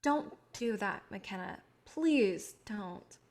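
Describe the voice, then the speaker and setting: A woman's voice: mid-range voice, expressive, drawn-out words. A woman acting as a protective, guilt-ridden idealistic filmmaker in a staged play reading, speaking earnestly and pleadingly. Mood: gently coaxing.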